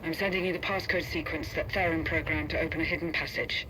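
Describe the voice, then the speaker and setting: An actor voicing a businesslike operative in a video game, giving brisk instructions as a remote guide. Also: male voice, fairly high pitch, steady tone, background noise, close to an everyday voice. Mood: focused, instructive. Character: businesslike male operative guiding an agent remotely.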